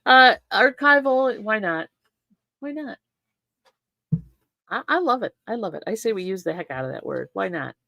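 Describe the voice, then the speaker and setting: A woman's voice: medium pitch, expressive, moderate pace, gravelly, long pauses, sharp tone, no room sound. Playful older woman with a dry close sound. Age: 60s. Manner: repeats catchphrases, laughs often, playful banter. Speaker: co-host. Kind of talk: casual chat. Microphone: desk microphone.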